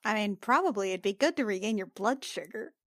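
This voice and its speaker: A woman's voice: medium pitch, lively intonation, fairly quick, fairly bright tone, dry recording, studio quality. A woman playing a tabletop roleplaying game, chatting casually about her character as a player.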